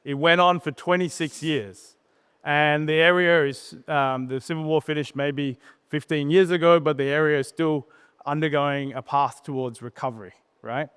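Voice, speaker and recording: Man, slightly high smooth voice, conversational conference presenter, plain-spoken. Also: fairly even intonation, a bit bright. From born in Sri Lanka, grew up in Australia. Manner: plain-spoken, conversational, uses pauses and tag questions. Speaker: startup co-founder, conference speaker. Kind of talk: informal conference presentation, personal storytelling. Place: conference stage in a large hall. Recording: reverberant hall PA sound.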